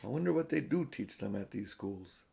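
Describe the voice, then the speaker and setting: A man voicing a learned old professor in a storybook, deliberate, pedantic and emphatic. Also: on the low side, expressive, creaky, warm tone, husky, voice pushed down, heavily acted. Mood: sharp, pointed. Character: learned old male professor, musing and sharp. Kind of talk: storybook character reading.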